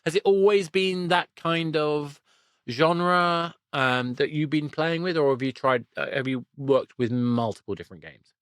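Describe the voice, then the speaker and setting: A man's voice: on the high side, unhurried, fairly bright tone, smooth, no room sound. Man hosting, very dry close sound. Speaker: podcast host. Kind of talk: conversational interview.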